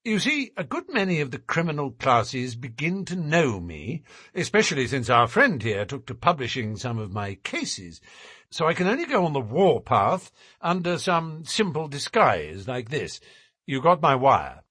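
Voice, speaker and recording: A man voicing a calm, self-assured detective in a measured, dry manner. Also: mid-range voice, breathy edge, dry recording. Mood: cool.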